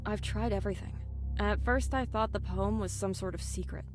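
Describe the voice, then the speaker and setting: A woman's voice: mid-range voice, normal speed, music in the background, close to an everyday voice. A woman voicing a weary, bookish, earnest young female student in a visual novel, explaining things in a matter-of-fact way.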